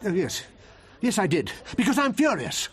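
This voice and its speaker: An actor playing a flustered, indignant English barrister in a radio comedy drama, stammering and plaintive. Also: male voice, sits high, big pitch swings, normal speed, fairly bright tone. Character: flustered, indignant male barrister, anxious and hapless.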